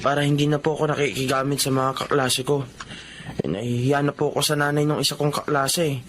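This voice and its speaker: A man's voice: on the high side, level tone, brisk, a bit bright, a little hiss or hum, lightly acted. A male performer playing a timid, earnest schoolboy son in a Filipino radio drama. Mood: timid, pleading.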